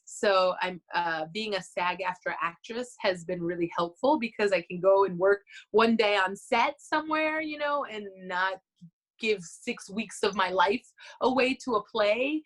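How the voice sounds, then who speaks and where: A woman from Chicago, a theater artist and mother, talks thoughtfully and pauses on fillers. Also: mid-range voice. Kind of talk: personal introduction.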